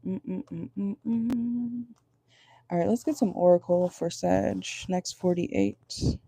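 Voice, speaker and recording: A woman with a low voice giving a casual tarot reading from home, chatty and rambling, often checking in with her viewers. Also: steady tone, slow pace, creaky, dark tone, husky, dry recording. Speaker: tarot reader. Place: at home indoors at night.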